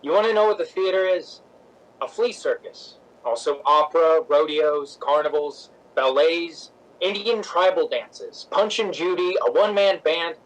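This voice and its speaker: A man plays a cocky theater director with a fairly high male voice. He is wisecracking and opinionated, hammering his points home like a lecturer in an old 1950s radio play. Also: measured pace, close to an everyday voice, rough recording. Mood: passionate lecturing. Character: brash, teasing, opinionated male stage director. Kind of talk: recreated 1950s radio drama acting.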